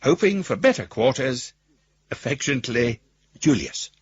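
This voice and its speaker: An actor playing a wry, pompous older gentleman rogue, husky, narrating his letters in ornate, wordy style for a radio drama. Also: mid-range voice, sing-song, moderate pace, muffled recording. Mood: wry, self-satisfied. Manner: wordy, ornate, self-regarding.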